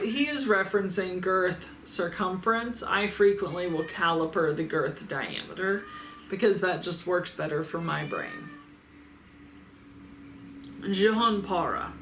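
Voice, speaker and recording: Woman, Midwestern accent, sarcastic, swears casually, mid-pitched voice, reverberant room. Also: fairly bright tone, a little hiss or hum. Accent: Midwestern American. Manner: blunt, sarcastic and profane. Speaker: adult toy reviewer and YouTuber. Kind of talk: casual comment-reading vlog. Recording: roomy echo with faint ambience.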